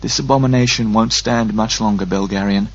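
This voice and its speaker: A man's voice: on the low side, steady tone, highs cut off. A man voicing a stern, controlled disembodied voice that speaks inside someone's mind. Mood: assuring. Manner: sharp, measured commands.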